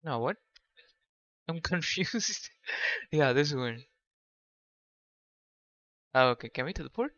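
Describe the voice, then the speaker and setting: Slow-paced male game streamer, dull lo-fi mic. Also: a little high, lively intonation, a bit bright. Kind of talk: casual livestream chat while gaming. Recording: dull, lo-fi microphone sound.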